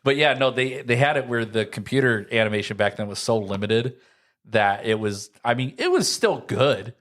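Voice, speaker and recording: A man's voice: mid-range voice, expressive, normal speed, a bit bright. An American man in his early thirties chatting casually as a podcast co-host, rambling and leaning on filler words. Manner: rambling, frequent "like". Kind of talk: casual chat.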